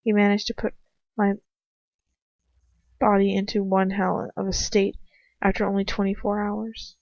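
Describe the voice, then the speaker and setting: A woman's voice: mid-range voice, little pitch movement, a little slow, a little mellow. A woman giving a dramatic first-person reading of fan fiction, as an amateur audiobook narrator. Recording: muffled roomy home recording.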